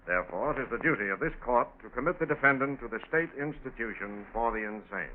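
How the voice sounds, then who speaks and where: An actor playing a solemn, formal judge reading out a ruling in measured 1940s radio style. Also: male voice, mid-range voice, animated, lightly acted. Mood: grave, official. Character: solemn, formal male judge passing sentence in court. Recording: tinny, faintly noisy 1940s radio broadcast.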